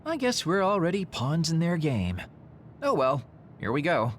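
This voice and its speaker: A man's voice: on the high side, sing-song, normal speed, a little hiss or hum. An actor playing a laid-back, flippant male soldier in a video game. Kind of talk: English video game voice acting.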